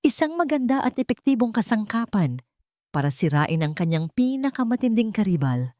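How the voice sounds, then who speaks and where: A woman's voice: low-pitched, darkish tone, dry recording, clean studio sound. A woman narrating a scripted dramatic audio story.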